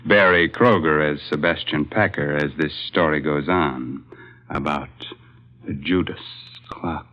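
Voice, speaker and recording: A man with a very deep voice announces an old radio mystery. He is theatrical and folksy, heard on a muffled 1940s recording. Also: unhurried.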